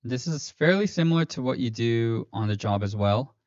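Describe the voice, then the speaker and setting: A man's voice: mid-range voice, expressive, normal speed, darkish tone, dry recording. A man hosting a finance livestream, talking casually with many fillers and self-corrections, his streaming voice a little robotic. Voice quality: slightly robotic-sounding stream voice. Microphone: streaming mic. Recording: dull lo-fi stream audio, dry.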